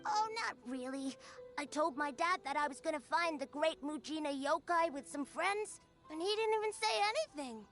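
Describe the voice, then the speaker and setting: Piercing, very high village child. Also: female voice, expressive, normal speed, a bit bright, soft background music. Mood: earnest. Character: stubborn, earnest young village child. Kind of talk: anime video game voice acting.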